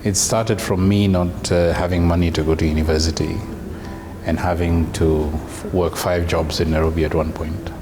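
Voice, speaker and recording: A man, telling his story in a halting, reflective way. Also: low voice, level tone, music in the background. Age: 30s. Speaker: interviewee, social entrepreneur. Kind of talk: personal profile interview.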